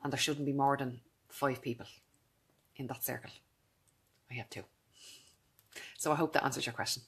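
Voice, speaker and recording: A woman in her thirties with a naturally low voice, talking earnestly and directly to her camera in a vlog. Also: fairly even intonation, normal speed, fairly bright tone, breathy edge. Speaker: vlogger. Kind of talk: casual talk to camera.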